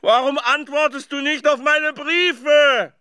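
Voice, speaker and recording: An actress playing an eccentric, lovelorn and obsessive suitor in a radio play monologue, declaiming and calling out. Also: female voice, high-pitched, fairly even intonation, slow, bright, forceful tone, dry recording, heavily acted. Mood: pleading, yearning. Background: music.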